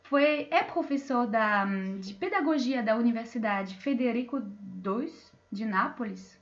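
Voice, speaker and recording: A woman's voice: on the high side, animated, a little slow, lo-fi sound. A woman moderating an online panel, introducing guests with many fillers and restarts, over a video call. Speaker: debate moderator.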